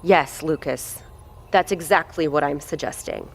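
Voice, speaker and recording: A woman's voice: deep voice, measured pace, creaky, loud music behind the voice. An actress playing a blunt, foul-mouthed woman who heads a research facility, talking in a commanding way in an audio drama. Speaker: facility head.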